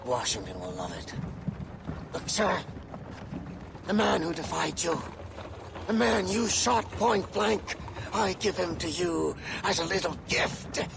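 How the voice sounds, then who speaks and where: A gloating, mocking villain officer in a game cutscene. His voice is gravelly and high for a man, swinging up and down in pitch as he drags out each taunt. Loud noise from the scene runs underneath. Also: big pitch swings, takes it slowly, pauses often, heavily acted. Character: gloating, cackling middle-aged male villain officer.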